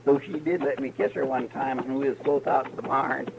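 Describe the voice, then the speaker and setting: A man's voice: mid-range voice, fairly even intonation, a little fast, creaky, dull audio, close to an everyday voice. A man playing a folksy, naive, earnest western sidekick with an in a radio drama. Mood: earnest, wistful. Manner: rustic, naive, folksy grammar. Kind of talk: 1950s western radio acting. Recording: noisy old radio recording.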